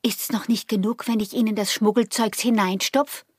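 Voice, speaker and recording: An actress playing a young, defiant and teasing peasant wife in a radio drama, with a husky, breathy voice and an Austrian rural dialect. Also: female voice, medium pitch, steady tone, normal speed, no room sound, studio quality, lightly acted. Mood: scornful. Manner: mocking, provocative.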